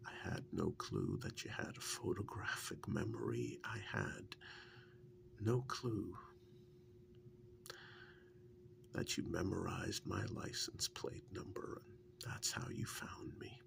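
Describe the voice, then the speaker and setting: Guy playing wry affectionate boyfriend in an asmr roleplay, super gravelly low soft voice, slow and musing. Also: very animated, full character voice. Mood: fond, wistful. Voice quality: gravelly, breathy, often dropping to a whisper. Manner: halting, musing, unhurried. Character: wry, affectionate kidnap victim fondly reminiscing to partner. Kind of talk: soft-spoken intimate ASMR role-play.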